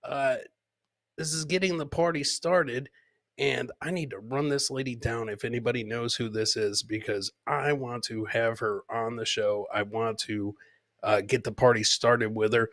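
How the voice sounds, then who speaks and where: Guy podcast host, bright forceful voice, dry close sound, loose chatty riffing, repeats himself. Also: medium pitch, steady tone, normal speed, no room sound. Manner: loose, repeats his phrases, interjects. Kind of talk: casual live commentary on viral clips.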